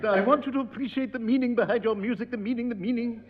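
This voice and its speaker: An actor playing a fussy piano teacher in a 1960s radio sitcom, stern and pompous and easily exasperated. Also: male voice, extremely high pitch, racing. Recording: dull old radio broadcast with long reverb.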